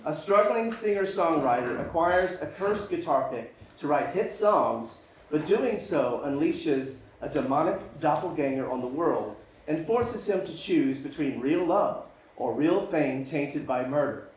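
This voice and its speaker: A man's voice: a little high, lively intonation, unhurried, hardly any pauses, slight background noise. A man, a screenwriter, giving a prepared live introduction to his script. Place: live stage venue. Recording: very echoey live hall.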